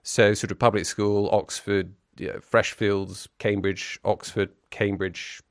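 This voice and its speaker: Low-voiced, hesitant, reflective man. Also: level tone, unhurried, no echo, studio quality. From grew up in Brussels. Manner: hesitant, many restarts and fillers, articulate and wry. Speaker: interview guest. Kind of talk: reflective conversational interview.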